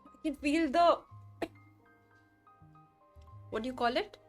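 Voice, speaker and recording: A woman's voice: sits high, brisk, soft background music. A woman streamer giving casual live reactions while playing a game.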